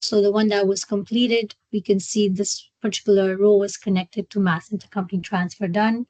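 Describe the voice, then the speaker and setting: Woman software trainer, dry close sound. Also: medium pitch, fairly even intonation, dry recording, low-quality recording. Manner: halting, step-by-step explaining with check-in questions. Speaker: software trainer hosting a webinar. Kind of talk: live screen-share product walkthrough.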